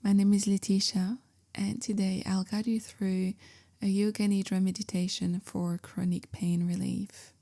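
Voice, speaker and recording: A woman guiding a meditation in a gentle, calm, measured way, softly spoken with whispery phrase endings. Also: mid-range voice. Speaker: meditation guide, yoga teacher. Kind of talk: guided meditation, slow soothing instruction.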